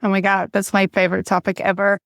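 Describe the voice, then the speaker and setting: Woman in her 40s, matter-of-fact podcast guest, creaky flat voice. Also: medium pitch, quick pace, no room sound. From Bulgaria. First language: Bulgarian. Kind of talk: casual interview chat.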